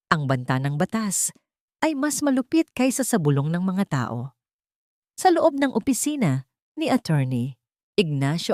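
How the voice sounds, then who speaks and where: A woman's voice: on the low side, a little mellow, no echo, clean studio sound. A woman narrating a scripted dramatic story in a solemn, emotive manner.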